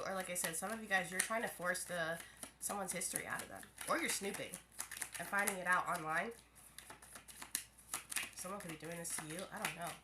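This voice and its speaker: A woman's voice: mid-range voice, very noisy. A woman giving a casual tarot reading to her viewers, a little stuffy from a head cold, musing aloud and often restarting her phrases. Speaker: tarot reader.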